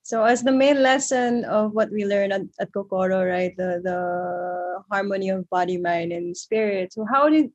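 Female host, casual, chatty, laughs easily, mid-pitched voice. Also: normal speed, hardly any pauses, highs cut off. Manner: warm, chatty introductions with frequent laughs. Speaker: interview host. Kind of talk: casual interview hosting.

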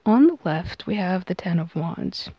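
A woman hosting a tarot reading, explaining it in measured, unscripted phrases. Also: lowish voice, lively intonation, warm tone, breathy edge, dry recording. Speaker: tarot reader and podcast host.

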